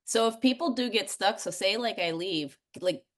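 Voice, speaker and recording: A woman's voice: medium pitch, moderate pace. A woman asking questions casually and informally, hesitant and looking for reassurance. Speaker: audience member asking questions.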